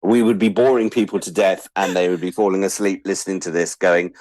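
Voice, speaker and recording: Low-voiced wry Englishman. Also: fairly even intonation, brisk, a little mellow, no room sound. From England. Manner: wry, self-deprecating, acts out imagined quotes. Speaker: interview guest. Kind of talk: reflective casual chat.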